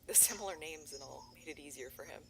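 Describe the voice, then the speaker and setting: A woman's voice: low-pitched, fast, creaky, very noisy. A woman playing a worried young videographer searching for her missing brother in an analog horror audio drama, talking in an earnest, rambling way.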